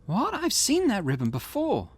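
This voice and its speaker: A man voicing an eager, excitable teenage schoolgirl in a visual novel. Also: very high-pitched, moderate pace, breathy edge, pitched above the performer's own voice, full character voice. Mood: urgent.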